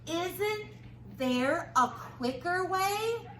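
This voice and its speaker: A woman voicing pleading, baffled townspeople in a storybook, with exclaiming, drawn-out delivery. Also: very high-pitched, expressive, pushed and bright, distant mic, pitched above the performer's own voice, exaggerated voice. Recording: roomy, noisy classroom-style recording.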